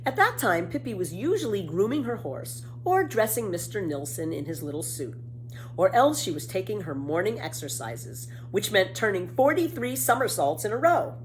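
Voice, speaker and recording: A woman reads a children's story with very lively intonation. She stresses key words deliberately and keeps a mid-range voice. Faint noise runs behind her. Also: medium pitch, poor audio. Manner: animated, deliberate emphasis. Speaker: storybook reader. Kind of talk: expressive scripted narration of a children's book.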